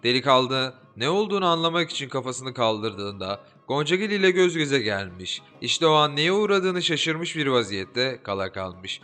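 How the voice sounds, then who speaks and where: Expressive male fairy-tale narrator. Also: mid-range voice, normal speed, few pauses. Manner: expressive, lilting storytelling. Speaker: audiobook narrator. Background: soft background music.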